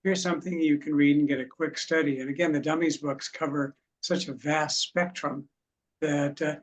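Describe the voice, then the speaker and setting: A man talking as an author guest, explaining in a steady way. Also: a little high, moderate pace. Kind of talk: explaining in a talk show. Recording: faint background noise.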